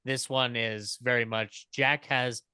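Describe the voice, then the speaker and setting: A man chats on a casual panel in an informal way, peppering his talk with "like". His voice is bright and clean, of middling pitch, in a very dry recording. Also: steady tone, takes it slowly, clear, resonant tone, no room sound.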